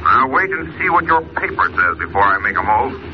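An actor playing a cocky, menacing racketeer making threats in an old radio drama, curt and threatening. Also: male voice, medium pitch, very animated, a little fast. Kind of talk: 1940s radio acting. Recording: dull, faintly noisy old radio recording.

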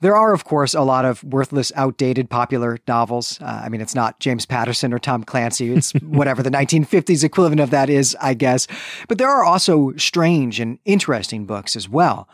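A man's voice: mid-range voice, lively intonation, brisk, no room sound, studio quality. A man hosting a podcast, giving a conversational, bookish literary recap with wry asides. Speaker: podcast host.

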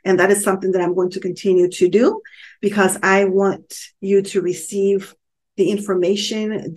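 An upbeat woman hosts her own podcast, speaking at a middle pitch. She talks in a warm, encouraging way and fills her pauses with frequent "um"s. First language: not Spanish; English first language. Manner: upbeat, encouraging, many "um" fillers. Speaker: podcast host and author. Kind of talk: solo podcast talk.

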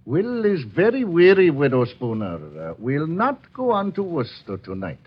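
An actor playing a weary, surly hired ruffian with a husky voice in a 1950s radio drama. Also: male voice, a little high, wildly swooping pitch, moderate pace, heavily acted. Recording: muffled 1950s radio recording.

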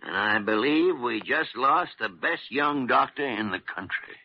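Gravelly, irascible old doctor. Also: male voice, mid-range voice, expressive, unhurried, heavy background noise. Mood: agitated, brooding. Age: older. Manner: crusty, melodramatic grumbling. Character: gruff, irascible old senior hospital doctor. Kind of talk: 1940s radio drama acting. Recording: muffled vintage radio recording.

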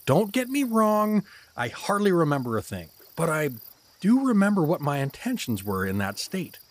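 A man's voice: fairly high pitch, wildly swooping pitch, moderate pace. A man playing a bumbling, good-natured married everyman hero, hesitant and folksy, in a fantasy comedy audio drama. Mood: guilty.

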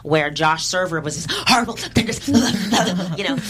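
A woman's voice: on the low side, lively intonation, moderate pace, a crowd in the background. A middle-aged woman, a guest comedian and actress, chatting casually in an interview and rambling with lots of fillers and restarts.

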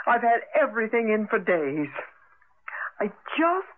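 A woman's voice: a little high, very animated, unhurried. Fretful older mother, tinny old radio. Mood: anxious, excited. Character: fretful, excited older mother awaiting her children. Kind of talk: 1980s radio drama acting. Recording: muffled, phone-like old radio broadcast.

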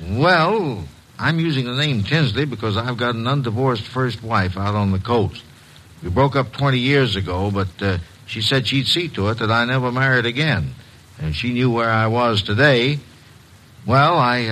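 A man's voice: medium pitch, lively intonation, normal speed, fairly bright tone, poor audio. An actor playing a defensive, evasive middle-aged businessman in a 1950s radio drama. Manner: defensive, blustering.

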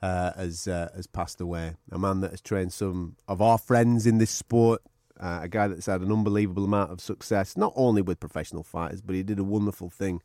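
A man's voice: low voice, steady tone, a little fast, few pauses, darkish tone, no room sound, clean studio sound. A man hosting a boxing podcast in casual chat, chatty and swearing freely.

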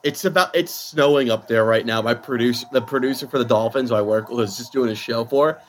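A man's voice: mid-range voice, fast talker, a little hiss or hum. A man calling in from a radio studio as a guest, joking and wisecracking. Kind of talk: casual chat.

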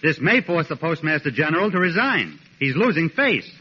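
A fussy American mail carrier with mock-polite sarcasm. The voice is high, piercing and rough-edged, in forties radio comedy style on a dull old recording. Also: male voice, very animated, normal speed. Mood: fussily sarcastic.

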